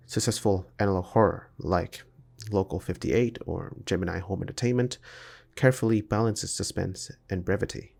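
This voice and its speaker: A low male voice with plenty of vocal fry narrates a scripted video essay. He reads in a formal, academic way, laying out analysis point by point. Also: darkish tone.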